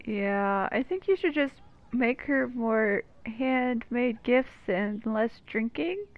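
Female streamer, casual joking commentary, slow-paced, slightly high, faint game music. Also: lively intonation, highs cut off. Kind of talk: casual live gameplay commentary.

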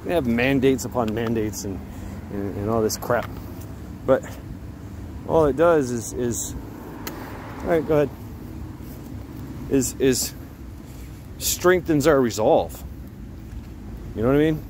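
Middle aged american guy rambling slowly on a walk, halting, phone mic outside w traffic noise. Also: mid-range voice. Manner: halting, breaking off to talk to a dog. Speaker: vlogger. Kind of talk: casual rambling walk-and-talk chat. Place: outdoors walking along a neighborhood sidewalk. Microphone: handheld phone at arm's length. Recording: outdoor street noise, passing cars.

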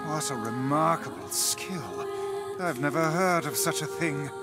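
A man's voice: fairly high pitch, a little slow, over loud music, natural voice. A man voicing a pompous, haughty talking magic book in a video game, with sardonic, old-fashioned grand diction. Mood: haughty, dry.

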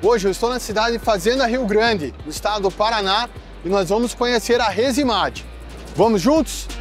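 A man's voice: high-pitched, normal speed, soft background music. A man with a Brazilian Portuguese accent hosting an on-site tour of a sawmill, asking leading questions in an upbeat way. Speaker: host. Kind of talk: on-site company tour interview. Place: outdoors at a sawmill.

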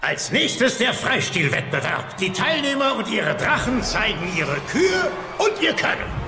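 Excitable, very high-pitched Viking announcer. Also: male voice, measured pace, roomy sound, music in the background, exaggerated voice. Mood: announcing, excited. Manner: proclaiming, theatrical. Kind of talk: German animated-series audio drama.

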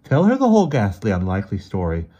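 Guy doing a worn out, urgent older brother from a storybook, pitched way up, loud and dramatic. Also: wildly swooping pitch, quick pace, lo-fi sound. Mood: weary, ironic. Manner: emphatic, theatrical, exasperated. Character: weary, grave older brother, shaken and urgently insistent.

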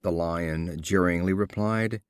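A man reading fables as scripted storybook narration, in a measured, expressive storyteller's delivery. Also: low voice, clean tone, dry recording, clean studio sound. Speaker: audiobook reader.

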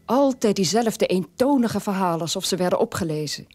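An actress playing a sober middle-aged daughter of a war criminal, telling her past in a measured radio-play monologue with a husky voice. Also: medium pitch, expressive, a little mellow, no room sound, lightly acted. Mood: sober, reflective.

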